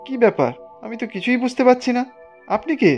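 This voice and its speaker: A young, educated village farmer in a cartoon. He is plucky and earnest, speaking quickly in exclamations. Soft music sits underneath. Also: very high-pitched, animated. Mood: puzzled. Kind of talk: Bengali cartoon voice acting.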